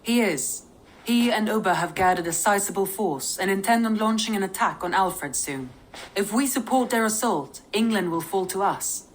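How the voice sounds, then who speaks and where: A woman's voice: medium pitch, expressive, normal speed, slight background noise, close to an everyday voice, low-quality recording. An actress playing a composed, businesslike female viking advisor in a video game. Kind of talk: video game cutscene acting.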